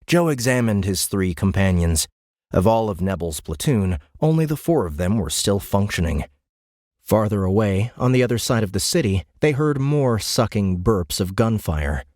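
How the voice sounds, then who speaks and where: A man with a lowish voice reads dramatic science fiction prose in measured, grave phrases. The recording is very dry and close, like a studio booth. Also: very animated, a little mellow, dry recording. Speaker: audiobook narrator. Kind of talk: dramatic scripted narration of military science fiction.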